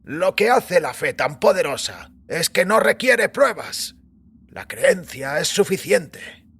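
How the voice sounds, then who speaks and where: A man playing an aging, stubbornly faithful priest with a husky voice and courteous, formal speech. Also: high voice, sing-song, slow, fairly bright tone, heavily acted. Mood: resolute. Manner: formal, courteous, archaic address.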